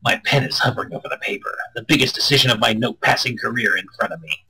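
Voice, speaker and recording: A man with a husky, strained voice reading a visual novel aloud expressively, with crude joking asides and stumbles over lines. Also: mid-range voice, very fast, pushed and bright, low-quality recording. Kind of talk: expressive read-aloud with casual banter.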